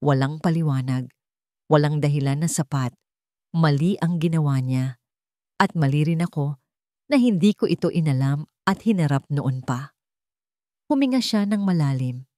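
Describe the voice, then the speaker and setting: A woman telling a dramatic love story in a slow, solemn storytelling manner. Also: low-pitched, lively intonation, warm tone, no room sound, studio quality. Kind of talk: scripted dramatic narration.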